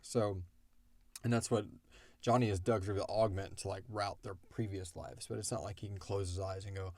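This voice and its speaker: A man with a deep voice and a creaky edge chats as a podcast co-host. He answers listener questions casually, wandering through explanations and joking along the way. The sound is dry, with little room in it. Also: steady tone, normal speed, darkish tone, dry recording. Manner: rambling, joking explanations with acted-out quotes. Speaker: podcast co-host and creator of an audio drama, answering fan questions.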